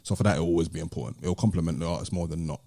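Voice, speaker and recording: A British man hosts a casual podcast in a low, very mellow voice. The sound is close and dry. He explains things step by step, in a conversational way. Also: very fast, creaky, no room sound. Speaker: podcast host.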